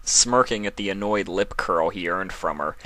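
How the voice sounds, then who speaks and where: A man's voice: low-pitched, normal speed, sharp tone, no room sound, poor audio. A man narrating a scripted story as its reader.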